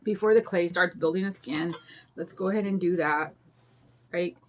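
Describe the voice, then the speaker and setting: Older woman, raspy voice, rambling chatty craft host, faint background noise. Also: fairly low pitch, moderate pace. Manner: chatty, rambling, greets viewers by name. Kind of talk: casual chat during a craft demo.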